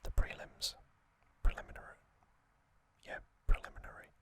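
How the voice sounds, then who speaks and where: A man hosting an ASMR video, whispering a soft, hesitant recap and correcting himself now and then. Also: moderate pace. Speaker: ASMR host.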